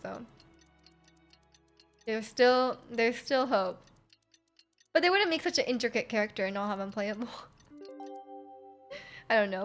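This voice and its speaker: A woman streaming a video game, reacting casually with playful, joking, musing commentary. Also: medium pitch. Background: game music.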